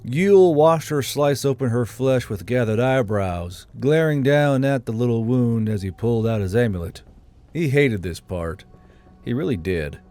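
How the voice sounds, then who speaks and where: A man's voice: medium pitch, animated, moderate pace. A man reading a scripted fantasy story aloud as an audiobook narrator. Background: soft background music.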